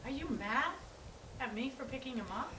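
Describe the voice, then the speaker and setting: A woman acting a frazzled, sarcastic grown daughter, sardonic and animated, in a staged play reading. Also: high voice, normal speed. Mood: defensive. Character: frazzled, sarcastic adult daughter fed up with her brother. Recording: echoey room, clear background noise.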